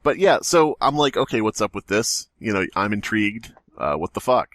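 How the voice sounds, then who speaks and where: Animated male podcaster in a dry-sounding space. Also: fairly low pitch, moderate pace, no room sound. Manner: animated, sarcastic storytelling, profane. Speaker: podcast host. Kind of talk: casual comic chat.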